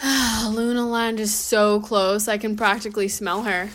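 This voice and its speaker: A woman's voice: mid-range voice, fairly even intonation, unhurried, fairly bright tone, natural voice. A woman acting in an audio drama as a brash, playful, crude young female werewolf.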